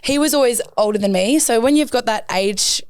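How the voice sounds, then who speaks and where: A woman's voice: a little high, brisk, darkish tone, clear, resonant tone, dry recording, studio quality. Chatty Australian woman in her twenties. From New South Wales, Australia. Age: 20s. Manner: chatty, self-deprecating, laughs often. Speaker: guest, rugby league player. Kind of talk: casual chat.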